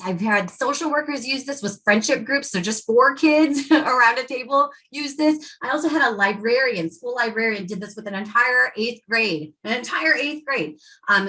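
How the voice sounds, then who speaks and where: A woman educator leading a workshop for teachers, talking with lively energy and acting out quotes from students and parents. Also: on the high side. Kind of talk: presenting to educators.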